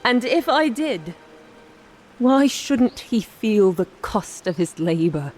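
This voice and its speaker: An actress playing a defiant, scornful goddess in a fantasy audio drama, cool and cutting. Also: female voice, on the high side, big pitch swings, slow, a little mellow, faint music behind.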